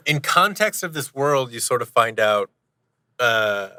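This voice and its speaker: A man's voice: medium pitch, very animated, slow, gravelly, sharp tone, dry recording. A man talking casually as a podcast guest, joking with a straight face. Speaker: guest, audio series creator. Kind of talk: casual podcast interview chat.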